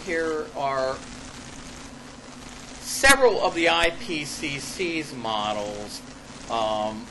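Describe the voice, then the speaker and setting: A man reading an academic paper aloud in a classroom as a lecturer, halting and deliberate, stressing key words and adding spoken asides. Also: medium pitch, lively intonation, bright, forceful tone. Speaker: lecturer presenting own paper. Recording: clearly noisy live classroom recording.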